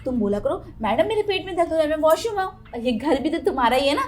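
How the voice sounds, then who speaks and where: High-pitched stern female tutor, played by a woman. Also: big pitch swings, quick pace. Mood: coaxing. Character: stern, sarcastic female tutor, scolding and threatening. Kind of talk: acted Hindi short drama.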